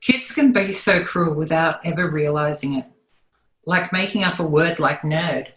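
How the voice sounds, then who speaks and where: Woman playing a gentle, lowish-voiced sitcom mom. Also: moderate pace. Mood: gently reproving. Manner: soothing, motherly. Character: gentle, caring sitcom mom soothing her family. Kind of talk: amateur table read of a TV sitcom. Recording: echoey room over online call.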